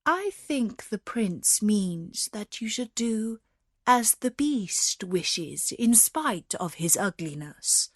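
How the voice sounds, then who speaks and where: A woman voicing a kindly, thoughtful older father with a grave, measured delivery. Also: mid-range voice, very animated, dry recording, clean studio sound. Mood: thoughtful, advising.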